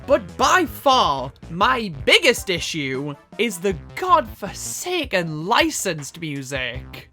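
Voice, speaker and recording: A woman's voice: a little high, a bit bright. A woman giving scripted video review commentary, with emphatic, dramatic stress on key words. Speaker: video essayist reviewing films.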